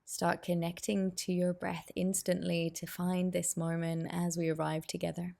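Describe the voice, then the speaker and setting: A woman guiding a meditation as a teacher, giving soothing, unhurried instructions with pauses. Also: on the low side, level tone, gravelly, mellow. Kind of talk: guided meditation.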